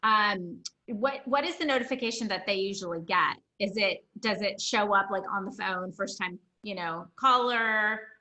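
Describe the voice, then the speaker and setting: A woman hosts a business conversation in an informal, think-aloud manner, often tagging her points with little check-in questions. Her voice is bright and forward, recorded with an obvious roomy echo. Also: medium pitch, normal speed, hardly any pauses. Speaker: host, practice consultant. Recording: roomy, echoing voice pickup.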